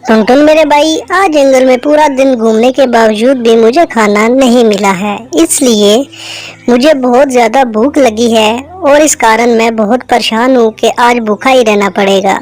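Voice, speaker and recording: A woman's voice: on the high side, moderate pace, a little mellow, close to an everyday voice. A woman voicing a loyal parrot friend in a cartoon moral story. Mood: troubled. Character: troubled hungry parrot, loyal friend to birds.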